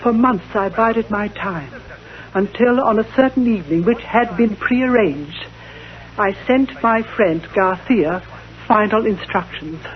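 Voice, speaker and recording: An actress playing a grieving, vengeful diplomat's widow in a radio drama, speaking formally and with dramatic passion. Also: female voice, mid-range voice, unhurried, natural voice. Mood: tense recounting. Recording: dull old radio recording.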